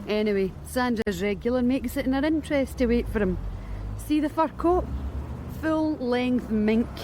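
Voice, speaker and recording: An actress playing a blunt, streetwise young street worker with a Scottish accent in a radio drama, wry and matter-of-fact. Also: female voice, on the high side, moderate pace, a little mellow, a little hiss or hum, lightly acted. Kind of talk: BBC radio drama acting.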